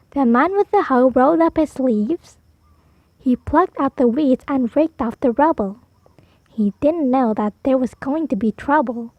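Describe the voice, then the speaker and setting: A woman storyteller reading a picture book aloud for preschoolers, animated, drawing out key words for emphasis. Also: sits high, dry recording, phone-quality sound. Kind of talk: expressive read-aloud for preschoolers.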